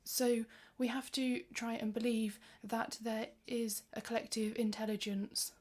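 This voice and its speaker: Flat-voiced female interpreter, slightly high. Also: unhurried. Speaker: interpreter for a panelist. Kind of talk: simultaneous interpretation.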